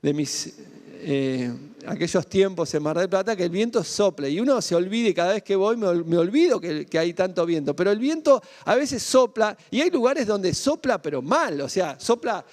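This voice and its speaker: A man's voice: high-pitched, animated, normal speed, darkish tone, long reverb tail. A man from Argentina with a Rioplatense accent, preaching a sermon in a conversational way, full of rhetorical questions and tag questions. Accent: Argentine Spanish (Rioplatense). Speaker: pastor.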